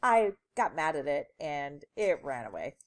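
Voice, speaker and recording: A woman's voice: low-pitched, sing-song, a little slow, heavily acted. A woman voicing an earnest, emphatic young boy in a children's storybook, matter-of-fact and sometimes cross. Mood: glum. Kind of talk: children's storybook read-aloud.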